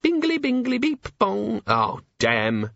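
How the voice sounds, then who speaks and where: A man's voice: very high voice, wildly swooping pitch, slow pace, creaky, a bit bright, no echo, lo-fi sound, pitched above the performer's own voice, full character voice. A man voicing a small, perky organiser imp in an audiobook, singsong and literal-minded. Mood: perky, fussy. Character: small chirpy organiser imp spouting twee aphorisms.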